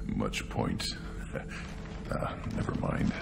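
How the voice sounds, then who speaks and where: An actor voicing a hulking young tank-bred alien warrior in a video game, blunt and scornful, growling out terse, brooding fragments. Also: male voice, very slow, over loud music. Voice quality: guttural, growling. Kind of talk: video game dialogue acting.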